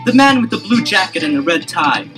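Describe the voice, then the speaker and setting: An eager young amateur detective in an old record-album drama. His voice is slightly high and very animated as he jumps on clues. Clear music plays behind him. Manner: eager and quick to suspect.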